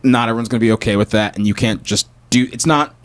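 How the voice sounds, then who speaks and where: A man's voice: medium pitch, fast, smooth. A man chatting casually and comically as a podcast guest, ranting and acting out imagined exchanges. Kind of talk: casual comedic chat.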